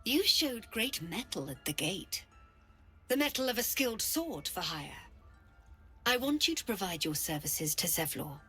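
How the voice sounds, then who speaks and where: A woman playing a stern, zealous druid leader in a video game, proud and menacing, with a solemn, deliberate delivery. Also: mid-range voice, very animated, bright, forceful tone, husky, faint music behind, full character voice. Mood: threatening. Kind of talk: dramatic video game acting.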